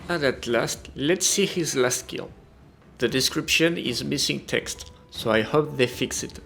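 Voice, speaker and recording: A man's voice: medium pitch, a little slow, gravelly, sharp tone. A man reading a scripted video game review as a voice-over. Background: music.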